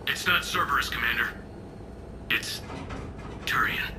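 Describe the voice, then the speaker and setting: An actor playing an earnest young alien lieutenant, clipped and urgent, with a layered alien voice filter. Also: male voice, medium pitch, unhurried. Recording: noisy battlefield and engines behind.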